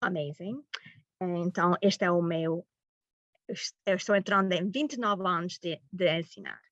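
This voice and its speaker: A middle-aged woman from Massachusetts, a teacher presenting informally and haltingly, mixing in words. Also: lowish voice, fairly bright tone, no room sound. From Massachusetts, United States. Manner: halting, mixes in English words. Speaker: high school language teacher presenting. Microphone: video call. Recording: muffled lo-fi webinar audio.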